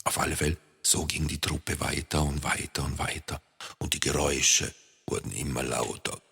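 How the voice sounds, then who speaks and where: A man's voice: a bass voice, fairly even intonation, moderate pace, creaky, bright, forceful tone, breathy edge. A man narrating a children's story expressively and playfully, speaking straight to young listeners. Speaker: author and storyteller. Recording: long reverb.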